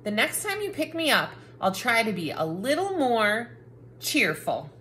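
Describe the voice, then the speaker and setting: A woman plays a cranky storybook character, a talking book that bosses and exclaims. The delivery is very animated and swoops up and down, at an unhurried pace. Faint noise sits behind the voice. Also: fairly high pitch. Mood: softening. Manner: bossy, dramatic exclamations. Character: cranky, grumpy talking picture book, bossy and easily exasperated. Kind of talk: children's picture book read-aloud.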